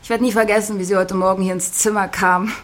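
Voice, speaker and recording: A woman playing a catty, amused society lady gossiping in a German radio play, mocking, with drawn-out emphasis. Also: medium pitch, level tone, slight background noise, close to an everyday voice. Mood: amused mockery.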